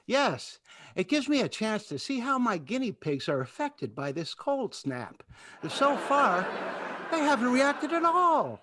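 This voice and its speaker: A high, husky, bookish innocent. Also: male voice, sing-song, measured pace, a bit bright, no room sound, full character voice. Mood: earnest. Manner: polite, pedantic, oblivious. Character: earnest, naive, bookish bachelor biology teacher. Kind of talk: old-time radio comedy acting.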